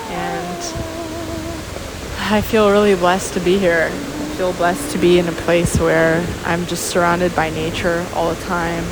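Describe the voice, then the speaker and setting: A woman with a fairly low voice rambles casually in a vlog voice-over. She muses in halting phrases, one thought at a time. Steady rushing waterfall noise is clearly audible behind her outdoor recording. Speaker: vlogger.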